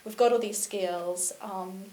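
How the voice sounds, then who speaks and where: A South African woman, a business consultant, telling her story thoughtfully in a relaxed interview. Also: mid-range voice, takes it slowly. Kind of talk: casual interview chat. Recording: echoey room with faint hiss.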